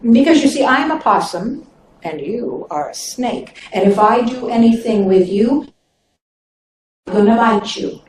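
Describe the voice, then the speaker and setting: A woman's voice: a little high, expressive, unhurried, a little mellow, roomy sound, a little hiss or hum, rough recording. A woman voicing a kind-hearted but cautious male possum in a told folktale. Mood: wary. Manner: hesitant, cautious.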